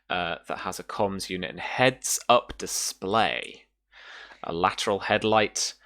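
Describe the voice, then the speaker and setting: A woman narrating a tabletop roleplaying game as game master, haltingly feeling her way through the details. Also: rumbling low, fairly bright tone.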